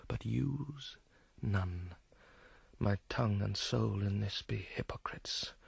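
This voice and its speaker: An actor playing a brooding, volatile young prince feigning madness in a theatrical Shakespearean radio drama, with a husky, gravelly voice and intense delivery. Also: male voice, fairly low pitch, a bit bright, dry recording, full character voice. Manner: slow, intense, declamatory.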